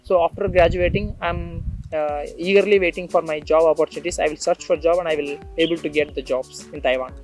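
A man's voice: fairly high pitch, steady tone, fairly quick, few pauses, faint music behind. A young man from India, an international student, answering interview questions outdoors on a campus, hesitant with many fillers and restarts. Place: outdoors on a tree-lined campus.

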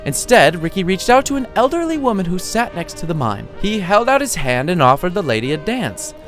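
A man's voice: high-pitched, very animated, a little fast, fairly bright tone, faint music behind. A man reading his own short story as scripted audiobook narration, with a wry, playful storytelling manner.

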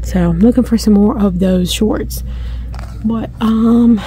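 Middle-aged woman, casual shop-along vlogger; mellow, chatty, easily delighted; phone in hand, faint store noise. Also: mid-range voice, slow. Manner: chatty, easily excited, thinking aloud. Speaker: shopping vlogger. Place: inside a large discount store. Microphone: handheld phone camera filming her hands.

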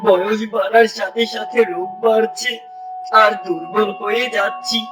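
A man's voice: high voice, steady tone, moderate pace, lightly acted. Frail old woodcutter, distant over music, acted by a man. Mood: weary, pleading. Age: older. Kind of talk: Bengali moral-story cartoon voice acting.

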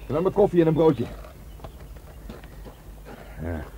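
An actor playing an easygoing, gently tolerant Dutch policeman in a radio drama. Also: on the high side, very animated, slow, highs cut off, slight background noise, exaggerated voice. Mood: gentle.

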